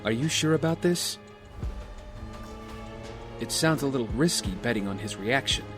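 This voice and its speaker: A man's voice: mid-range voice, animated, moderate pace, lightly acted. A man acting in an audio drama as an earnest, skeptical older brother who leads a team. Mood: concerned. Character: serious, cautious big brother leading a team. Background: music.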